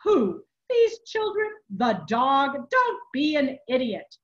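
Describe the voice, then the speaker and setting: A woman voicing a huge, commanding monk in a storybook, loud, forceful and scolding. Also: wildly swooping pitch, takes it slowly, muffled recording, full character voice. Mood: stern, scolding. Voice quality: booming, forceful. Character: huge fiery Italian monk, booming, furious, commanding old prior.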